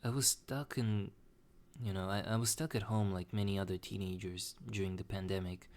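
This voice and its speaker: A young man talking slowly and hesitantly in a personal confessional monologue, with many restarts. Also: low voice, steady tone, darkish tone. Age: young adult. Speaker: vlogger.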